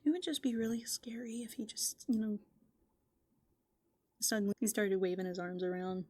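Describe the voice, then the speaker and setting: A woman chatting softly and casually in a whimsical, musing ASMR roleplay as a playful raccoon character, close to the microphone. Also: medium pitch, steady tone, normal speed, no room sound.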